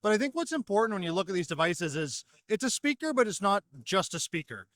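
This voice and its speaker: A man's voice: high voice, big pitch swings, fast, a bit bright, no room sound, clean studio sound. A man giving a fluent, structured product explanation as a company representative in an interview. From North America. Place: trade show exhibition hall.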